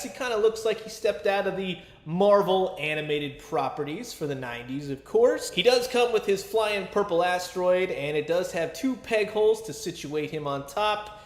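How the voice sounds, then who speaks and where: A man's voice: sits high, lively intonation, unhurried, echoey room. A man giving a casual, chatty toy review with a fan's enthusiasm and colloquial asides. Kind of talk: casual action figure review commentary.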